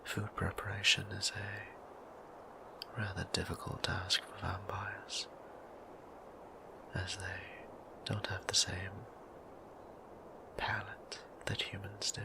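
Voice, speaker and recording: A low, whispering vampire priest played by a man, courteous and fond, with old-fashioned formal manners. He speaks slowly and intimately, his breath edged with gravelly fry, as in a close audio roleplay. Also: little pitch movement, poor audio. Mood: tender, courteous. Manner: formal, old-fashioned, polite, measured phrasing.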